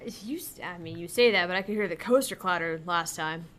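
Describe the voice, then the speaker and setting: A woman's voice: lowish voice, brisk, fairly bright tone. A woman hosting a let's play, chatting casually. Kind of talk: casual chat.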